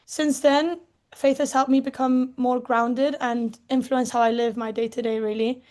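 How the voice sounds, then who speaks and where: A young woman student raised in Spain and England, speaking softly and reflectively as she gives a personal faith testimony. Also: high-pitched, level tone, moderate pace. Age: young adult.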